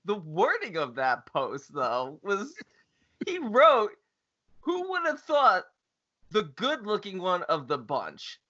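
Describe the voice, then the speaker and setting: Bright, high-pitched sarcastic man. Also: huge pitch swings, slow pace, dull audio. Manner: sarcastic, profane, joking. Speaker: podcast co-host. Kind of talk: casual banter. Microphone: remote call.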